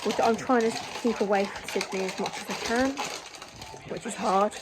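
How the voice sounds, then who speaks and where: A woman vlogging a home video diary while ill, her voice croaky and tired, talking plainly with many pauses and ums. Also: medium pitch, fairly even intonation, background noise. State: croaky voice from illness. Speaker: family vlogger. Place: family home.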